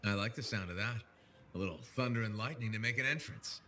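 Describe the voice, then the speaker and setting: An actor playing a sceptical, confident mercenary pilot hero in a video game, with a blunt, dry manner. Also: male voice, on the low side, fast, bright, forceful tone, a little hiss or hum. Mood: wry, friendly.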